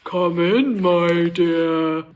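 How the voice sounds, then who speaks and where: A woman voicing a big, hungry male wolf from a storybook, sly and menacing, with a scratchy growl. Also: fairly low pitch. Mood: falsely sweet, menacing. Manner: menacing, drawn-out. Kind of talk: storybook character voice.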